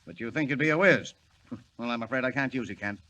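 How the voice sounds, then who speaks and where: A man playing a blunt, gruff newspaper editor. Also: mid-range voice, fast talker, close to an everyday voice. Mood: brusque. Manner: blunt, brusque, excitable. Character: gruff middle-aged male newspaper editor. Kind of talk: 1940s radio acting. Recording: hissy 1940 radio recording.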